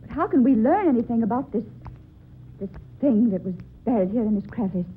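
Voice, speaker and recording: A woman's voice: a little high, animated, fairly quick, breathy edge, phone-quality sound. An actress playing an anxious, earnest woman painter in an old-time radio drama. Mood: uneasy wonder. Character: anxious female painter caught in a ghostly mystery. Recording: thin, noisy old radio recording.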